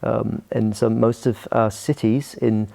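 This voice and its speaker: A man's voice: mid-range voice, level tone, slow, creaky, warm tone. A middle-aged Scottish man, a pastor guesting on a podcast, talking casually with many hesitations and restarts. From Scotland. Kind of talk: casual interview talk.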